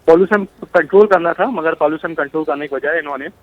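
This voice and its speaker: A man reporting live news unscripted over a phone line, halting and often repeating words. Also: on the high side, dry recording. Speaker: news correspondent. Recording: thin, narrowband phone line.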